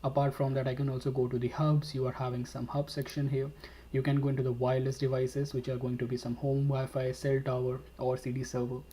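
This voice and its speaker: A man's voice: mid-range voice, monotone, a little fast, mellow, slight background noise. A man giving a live webinar tutorial, explaining steadily while demonstrating on screen, with frequent fillers. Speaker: instructor.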